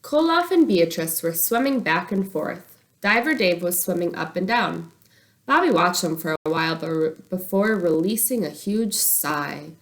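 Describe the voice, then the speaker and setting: Slightly low-voiced woman reading a storybook. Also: expressive, a little slow. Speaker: storybook reader. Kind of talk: expressive scripted narration of a children's book.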